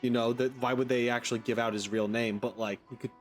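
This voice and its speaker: Male let's-play commentator, casual and rambling, mid-range pitch, light background music. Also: flat delivery, fast talker. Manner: rambling, thinking aloud. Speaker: gaming streamer.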